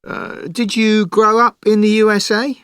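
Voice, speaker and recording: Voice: mid-range voice, level tone, unhurried, fairly bright tone, smooth, no echo, clean studio sound. An interviewer asking short questions in a scripted textbook dialogue, often starting with a hesitant "uh".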